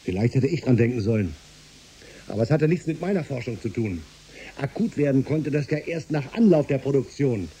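A man's voice: mid-range voice, expressive, fairly quick, mellow, a little hiss or hum, natural voice. A man playing a sober, concerned physicist in a German science fiction radio drama, with a formal, probing manner. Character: sober male doctor of physics, concerned and measured.

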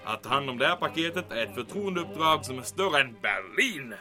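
Man playing a giddy, pompous major, wildly swooping pitch. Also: lively intonation, music in the background, natural voice. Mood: awed, curious. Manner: pompous, gloating, talks to himself, giggly. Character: vain, giddy German army major, pompous and easily excited. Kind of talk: audio drama monologue.

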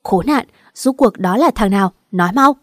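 A woman's voice: mid-range voice, expressive, moderate pace, dry recording, close to an everyday voice. A woman storyteller acts out an enraged husband and father in a dramatic audiobook. The delivery is harsh, contemptuous and commanding, recorded very dry. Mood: furious.

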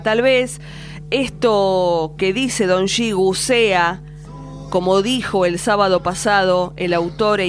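A woman with an Argentine accent hosting a radio show with reflective commentary on folk music. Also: medium pitch. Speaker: radio host. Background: faint music.

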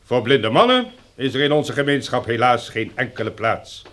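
An actor playing a stern, pompous community leader who lectures in formal, measured oratory in a radio drama. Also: male voice, medium pitch, expressive, fairly bright tone, close to an everyday voice. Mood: solemn, commanding. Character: stern, pompous male leader lecturing survivors on new rules.

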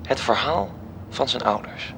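A man's voice: medium pitch, animated, unhurried, vocal fry, noisy background. A man reading scripted literary narration as the narrator of a radio play. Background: music.

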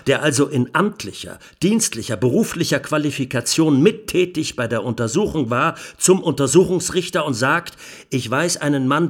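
An actor playing an indignant, sardonic lawyer in a radio play, addressing a court in with rhetorical emphasis and pointed pauses. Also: male voice, mid-range voice, breathy edge. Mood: incredulous, sardonic.

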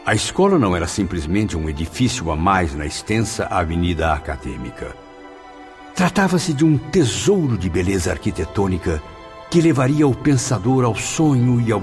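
A man's voice: deep voice, a little mellow. A man playing an earnest, grateful spirit student in a radio drama, speaking formally and reverently. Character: Camilo, earnest, grateful male spirit student recounting his afterlife. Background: background music.

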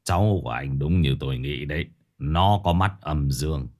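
A man voicing a stern older male exorcist master who gives slow, firm commands. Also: rumbling low, darkish tone, pitched below the performer's own voice. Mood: confiding.

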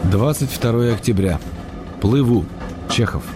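A man's voice: mid-range voice, lively intonation, unhurried, over music, close to an everyday voice. A man playing a stubborn but affectionate playwright in a radio play, reading his letters in a restrained, matter-of-fact way. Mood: terse, fond. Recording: faint noise and ambience behind.

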